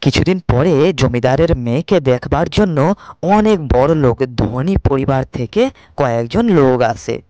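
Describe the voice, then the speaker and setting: A man's voice: mid-range voice, lively intonation, normal speed, no room sound, dull audio. A man giving scripted storybook narration for a cartoon moral tale. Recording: loud music under the narration.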